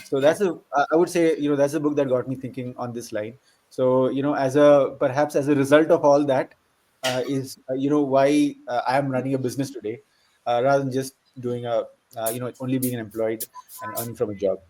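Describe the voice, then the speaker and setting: Middle-aged man, medium pitch, reflective guest in a casual discussion. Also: fairly even intonation, brisk, dark tone, rough recording. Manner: reflective, peppers talk with "you know". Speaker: panel guest. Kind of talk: casual chat.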